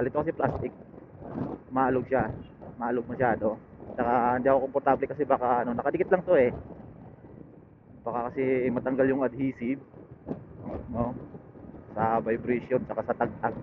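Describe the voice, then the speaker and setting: Casting: male Filipino moto vlogger, casual halting chat, tinny narrow intercom sound, loud engine and road noise. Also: medium pitch, steady tone. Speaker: motorcycle vlogger. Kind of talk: casual unscripted ride chat to viewers. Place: outdoors, riding a motorcycle. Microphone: helmet intercom headset linked to action camera. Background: loud motorcycle engine and road noise.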